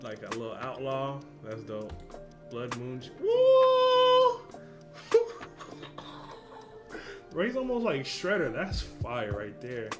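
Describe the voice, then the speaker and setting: Excitable young man reacting, music behind. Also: medium pitch, slow pace, long pauses. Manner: excitable, slangy, exclaims loudly at what he sees. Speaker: reaction channel host. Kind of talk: casual reaction commentary.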